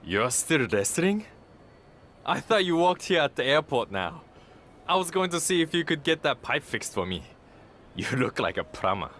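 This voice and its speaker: A man's voice: on the high side, very animated, moderate pace, fairly bright tone, slight background noise. A man playing an arrogant star wrestler in a video game cutscene, condescending, haughty and smug. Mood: mocking. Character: arrogant, condescending star wrestler who thinks himself above everyone.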